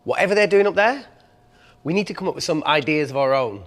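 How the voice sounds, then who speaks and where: A man's voice: a little high, lively intonation, quick pace. An actor playing a practical, sardonic male tech colleague, matter-of-fact and dry in a dramatic style. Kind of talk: naturalistic dramatic screen acting.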